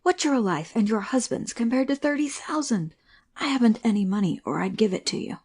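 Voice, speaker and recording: Woman as a breathy, creaky, exhausted hunted soldier. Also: a little high, animated, fairly quick, a little mellow. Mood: pleading. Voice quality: breathy, husky, often half-whispered. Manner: urgent, earnest pleading. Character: exhausted, desperate hunted Northern soldier, male, pleading. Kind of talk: amateur audiobook play acting.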